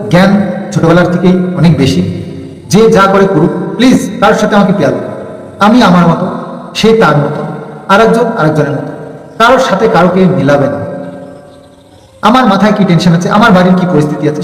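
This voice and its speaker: A young man speaks in a high voice, addressing viewers like a vlogger. His delivery is halting, with words repeated for emphasis. The room rings with echo and long reverb. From India, Bengal region. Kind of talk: casual vlog talk, airing personal grievances.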